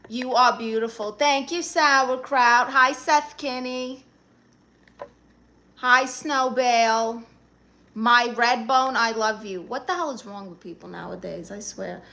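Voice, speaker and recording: A woman runs her own live stream, greeting viewers one by one and tossing off sassy, joking replies. Her voice is bright and forceful, set a little high for a woman. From Brooklyn, New York. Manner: sassy, playful, quick comebacks. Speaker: livestreamer. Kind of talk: casual live-stream chat, reading out viewer comments.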